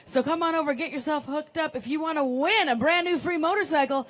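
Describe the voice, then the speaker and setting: A woman announcer giving an upbeat live sales pitch on an expo show floor. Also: a little fast, a bit bright. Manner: bubbly, upbeat hard sell. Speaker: promo announcer.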